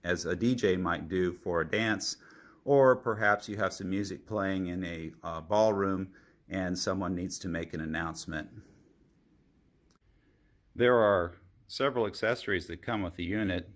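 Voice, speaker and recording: A man narrating a product demonstration as a reviewer, explaining things in a measured, methodical way. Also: low-pitched.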